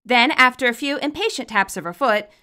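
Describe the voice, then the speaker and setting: A woman reading her own fan fiction aloud as expressive, scripted story narration. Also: fairly high pitch, fairly quick, clean tone.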